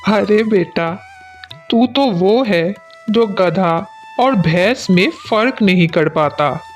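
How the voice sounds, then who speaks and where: A woman's voice: mid-range voice, expressive, takes it slowly, faint music behind. A woman voicing a weary, mocking village father in a cartoon story. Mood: exasperated. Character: exasperated village father scolding his simple son.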